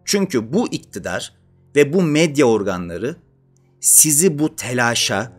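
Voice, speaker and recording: A man around thirty presents an explanatory video essay. His mid-pitched voice swings widely as he stresses his points and puts questions to the listener. Music plays lightly behind him. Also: sing-song, measured pace, slightly rough. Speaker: host of an educational channel. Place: small indoor studio with dark curtain backdrop. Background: faint background music.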